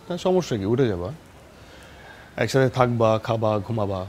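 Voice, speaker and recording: Animated, teasing young Bangladeshi boyfriend man acting. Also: medium pitch, normal speed, slight background noise, natural voice. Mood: playful, persuasive. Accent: Bangladeshi Bengali. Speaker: actor. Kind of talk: naturalistic TV drama dialogue.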